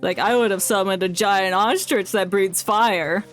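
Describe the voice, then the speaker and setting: A woman's voice: mid-range voice, measured pace, a bit bright. A woman doing casual, playful live game commentary as a streamer, joking along. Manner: playful, jokey. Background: game music.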